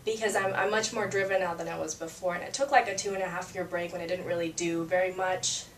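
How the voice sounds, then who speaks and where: A woman's voice: lowish voice, fairly even intonation, fast, a little hiss or hum. A young woman from Peru, a singer-songwriter, chatting in an interview with frequent fillers and laughter. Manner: chatty, laughs often, many fillers and restarts. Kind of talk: casual interview chat. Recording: roomy, distant mic, faint ambience.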